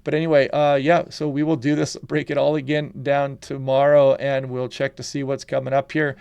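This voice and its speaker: A congested man talks his way through forecast maps in an easygoing, conversational manner. His voice has a gravelly, creaky edge, and the recording is dry and close. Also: mid-range voice, normal speed, dry recording, dull audio. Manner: relaxed, conversational, frequent "you know" and "kinda". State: congested from a head cold. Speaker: weather channel host. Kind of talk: casual forecast walkthrough over weather maps.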